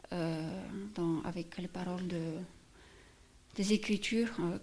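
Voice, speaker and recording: Woman, gravelly even testimony. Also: fairly low pitch. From Poland. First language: Polish. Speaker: church member giving testimony. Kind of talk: personal testimony and prayer.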